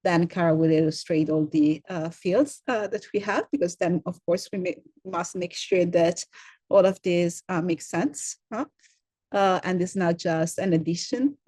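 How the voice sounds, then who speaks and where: A middle-aged woman from Italy with an Italian accent, giving a welcome talk as a program director and filling her pauses with frequent "uh"s as she searches for words. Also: lowish voice, a little mellow, no echo. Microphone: computer mic on a video call.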